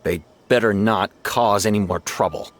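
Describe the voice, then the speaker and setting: An actor playing a weary, reluctant guard in a video game, speaking in a grudging, admonishing manner. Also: male voice, fairly low pitch, moderate pace, lightly acted. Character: weary, reluctant male guard releasing troublemakers.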